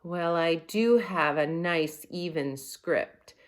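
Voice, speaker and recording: A woman's voice: fairly low pitch, slow. A woman voicing a bossy, exacting schoolgirl from a storybook in an emphatic, insistent manner. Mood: self-important.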